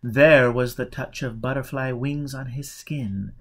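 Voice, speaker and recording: A man's voice: medium pitch, steady tone, measured pace, a bit bright. Man reading a story dramatically. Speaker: amateur audiobook reader. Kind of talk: dramatic scripted narration of a novel.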